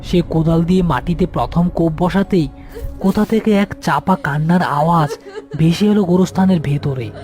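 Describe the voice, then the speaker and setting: A man's voice: fairly high pitch, normal speed, darkish tone. A man. Music plays behind his storytelling. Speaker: story narrator. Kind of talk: scripted horror story narration. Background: background music.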